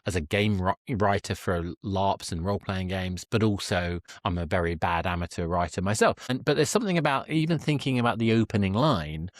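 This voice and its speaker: Male podcast host, low register, dry close sound, rambling and keen conversational interviewer. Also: brisk, few pauses, dry recording.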